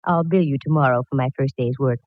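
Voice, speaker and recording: An actress playing a wry, quick-witted female private eye in a 1940s radio detective drama, breezy and wisecracking. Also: a bass voice, dry recording. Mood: wry narration. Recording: noisy, dull vintage radio recording.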